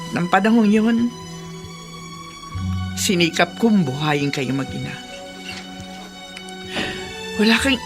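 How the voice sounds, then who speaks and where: Radio drama elder: earnest, weary older woman, medium pitch, solemn, clear music underneath. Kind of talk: Tagalog radio drama acting.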